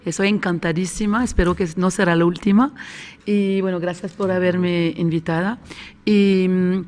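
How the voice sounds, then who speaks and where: Woman, Spanish, screenwriting panelist, halting with hums and restarts. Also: on the low side, steady tone, darkish tone. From Switzerland. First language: not Spanish. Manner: searching for words with hums and restarts. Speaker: screenwriter and script doctor. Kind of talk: panel talk to an audience. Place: conference room with audience.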